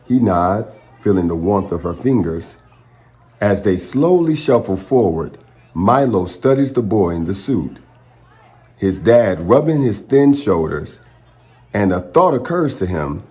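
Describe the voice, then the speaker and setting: A man hosts a children's storytime in a fairly low voice. His pitch moves very freely as he reads. He phrases dramatically, with pauses for his young listeners. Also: sing-song, darkish tone. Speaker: children's storytime reader and channel host. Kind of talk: expressive picture-book read-aloud.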